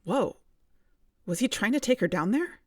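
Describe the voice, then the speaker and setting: A woman's voice: fairly high pitch, expressive, moderate pace, a little mellow, husky, dry recording. An actor playing a wary, probing, level-headed woman investigator in an audio drama podcast.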